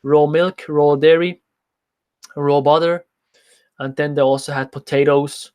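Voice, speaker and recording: A man's voice: a little high, fairly even intonation, a little slow, smooth, muffled recording. A young man who is not a native English speaker, casually answering viewer questions as host of a livestream Q&A in an informal way. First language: not English, speaks French as a second language. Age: young adult. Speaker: nutrition coach, livestream host.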